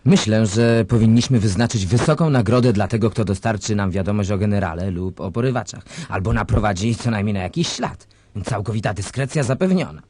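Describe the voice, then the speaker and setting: A man's voice: lowish voice, animated, a little fast, a little mellow, no echo. A man acting an officious, assertive military official in a satirical radio drama. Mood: proposing, assured. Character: officious male military official demanding weapons and rewards.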